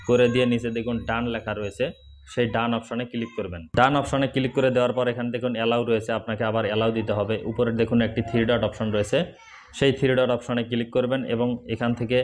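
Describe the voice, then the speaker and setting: Fast, even-toned man. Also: mid-range voice, a little hiss or hum. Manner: addresses viewers directly, instructional. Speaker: host of a tech tips channel. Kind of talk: step-by-step phone tutorial narration.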